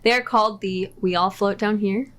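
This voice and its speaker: Woman on a video call, quick and matter of fact, normal pitch. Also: fairly even intonation, a bit bright. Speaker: drink maker guest. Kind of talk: casual chat. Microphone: remote call.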